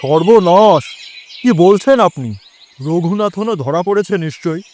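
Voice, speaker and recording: High-voiced, emotional ageing gentleman. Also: animated, a little slow. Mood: shocked. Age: older. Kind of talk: audio drama dialogue.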